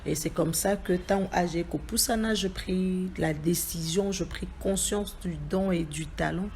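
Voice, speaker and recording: Brief: young Congolese gospel singer, woman, low voice, measured earnest delivery, faint background hiss. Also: a little mellow. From Democratic Republic of the Congo. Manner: measured, earnest, with pauses and fillers. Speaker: gospel singer, interview guest. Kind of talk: interview, mixing French and Lingala.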